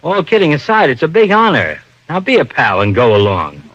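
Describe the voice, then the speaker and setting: An actor playing an earnest, sheepish, grumbling police lieutenant, a comic foil in old-time radio style. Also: male voice, fairly high pitch, huge pitch swings, a little fast, a bit bright, no room sound, exaggerated voice. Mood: sheepish, pleading. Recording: muffled old radio recording.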